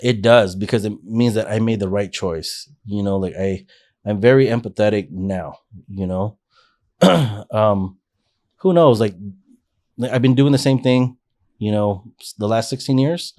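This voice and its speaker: A man in his forties with a fairly low voice talks through his own story on a podcast. He is earnest and rambling, often restarting sentences as he thinks. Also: a little slow, a little mellow. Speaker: podcast guest. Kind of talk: casual life-story interview.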